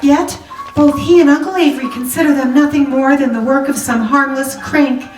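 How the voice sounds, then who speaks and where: A woman's voice: high voice, steady tone, normal speed, natural voice. A woman playing an anxious, genteel young wife in 1940s radio drama style, polite, fretful and hesitant. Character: anxious, genteel young married woman seeking a detective's help. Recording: roomy, distant microphone, music behind.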